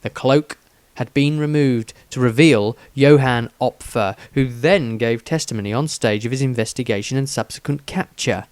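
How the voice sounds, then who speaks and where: A man's voice: medium pitch, moderate pace, no room sound. Man narrating fantasy, dry close sound. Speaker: narrator, author reading aloud. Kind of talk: scripted fantasy story narration.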